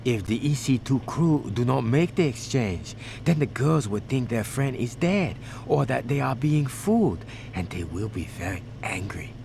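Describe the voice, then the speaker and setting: An actor playing a menacing gangster who gives orders in stilted, formal, non-native phrasing in a game cutscene. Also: male voice, medium pitch, big pitch swings, normal speed, vocal fry, hardly any pauses, a little mellow, slight background noise. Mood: measured, scheming. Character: menacing foreign male London gangster giving orders. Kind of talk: video game cutscene acting.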